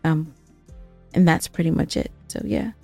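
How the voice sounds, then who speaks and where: A woman with a fairly low voice chats as a podcast co-host, recorded close and dry with music underneath. She speaks earnestly and emphatically, with some playful self-mockery. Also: steady tone, normal speed, creaky, mellow, breathy edge, no room sound. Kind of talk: casual podcast chat with appeals to listeners.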